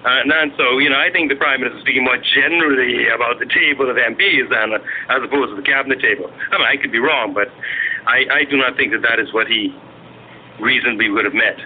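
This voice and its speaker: A man's voice: medium pitch, fast talker. Man on noisy, phone-like radio. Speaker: political party spokesman and guest. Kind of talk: radio political interview.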